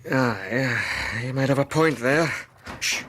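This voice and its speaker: An actor playing a sceptical, unhurried police detective, measured and matter-of-fact, in a radio drama. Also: male voice, mid-range voice, fairly bright tone, a little hiss or hum, lightly acted.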